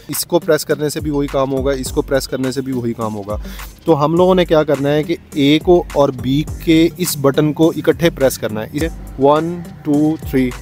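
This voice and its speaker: A man's voice: fairly high pitch, darkish tone. A man, a vlogger demonstrating a product step by step in a tutorial style.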